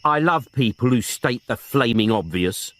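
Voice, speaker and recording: A gruff, sardonic detective inspector, voiced by a male narrator, blunt and quick to swear. His voice swings widely in pitch between dry mutters and bellowed commands. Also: expressive, fairly bright tone, close to an everyday voice. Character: gruff, crude, sarcastic male police inspector.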